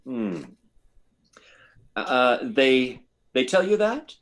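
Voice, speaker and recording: An actor playing a hesitant, probing army psychiatrist in an old-time radio science fiction drama, stammering and deliberate. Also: male voice, mid-range voice, sing-song, fairly bright tone, full character voice. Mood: skeptical, probing.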